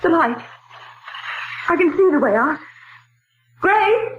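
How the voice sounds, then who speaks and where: An actress playing a genteel, easily frightened woman in a theatrical, halting 1940s radio drama style. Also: extremely high pitch, lively intonation, heavily acted. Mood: frightened, awed. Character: frightened, genteel woman recounting ghostly canyon ordeal. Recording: muffled, noisy 1940s radio recording.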